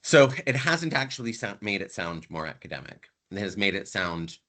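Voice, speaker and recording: A man's voice: lowish voice, sing-song, moderate pace, sharp tone. A man who grew up in Canada, giving a conversational online university lecture and repeating words for emphasis.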